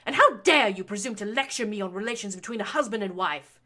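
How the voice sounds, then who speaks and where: A woman voicing a domineering, scornful noble mother who scolds her son in a shrill, loud tirade. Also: animated, quick pace. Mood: scolding. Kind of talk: audiobook reading of fan fiction.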